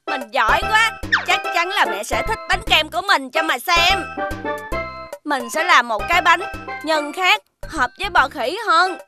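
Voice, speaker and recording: A woman's voice: very high-pitched, expressive, a little slow, over music. A woman with a Southern Vietnamese accent voicing a sweet, earnest little alien child in a children's cartoon. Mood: cheerful.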